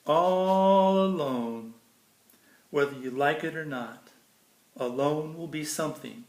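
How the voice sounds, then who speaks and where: Slow-paced man reading a picture book aloud. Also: a little high, darkish tone. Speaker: storybook reader. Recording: roomy echo, faint background noise.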